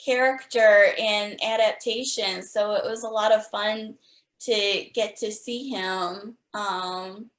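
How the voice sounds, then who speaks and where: A woman's voice: mid-range voice, fairly even intonation, slow pace, fairly bright tone. A woman hosting a podcast interview, chatting casually and appreciatively with guests. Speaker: podcast host. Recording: echoey room.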